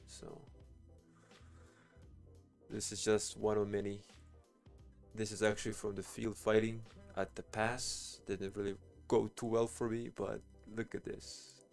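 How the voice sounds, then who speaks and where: A man's voice: fairly low pitch, creaky. A man giving casual, rambling game commentary as a live streamer, thinking aloud in informal language. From Bosnia and Herzegovina.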